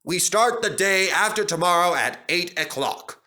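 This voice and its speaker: A man acts out a commanding railway director from a storybook. He is formal and stern, with a raised pitch and deliberate pace. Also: animated, bright, forceful tone, exaggerated voice. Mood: authoritative. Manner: authoritative, formal, bellowing when crossed.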